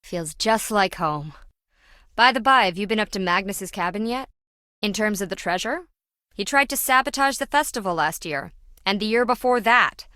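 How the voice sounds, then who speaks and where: A woman's voice: mid-range voice, expressive, moderate pace, pushed and bright, dry recording. A brash treasure-hunting businesswoman for a video game. She is flippant and self-important, griping in slangy, sarcastic lines. The recording is dry and close, with no room around her. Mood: griping, exasperated. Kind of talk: video game character dialogue.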